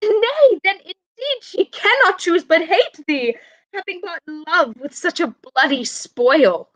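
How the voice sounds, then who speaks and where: A woman's voice: extremely high pitch, animated, takes it slowly, fairly bright tone, full character voice, low-quality recording. A young woman playing a bereaved, scornful queen in a Shakespearean radio play, speaking formal verse with biting sarcasm. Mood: anguished scorn. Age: young adult. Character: bereaved mother queen, bitter, scornful and grieving. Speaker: student actor.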